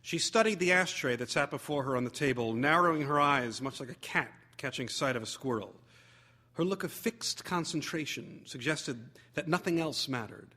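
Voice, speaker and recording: A man reading a humorous memoir aloud as theatrical narration, wry and expressive with deliberate phrasing. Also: a little high. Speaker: actor reading a short story.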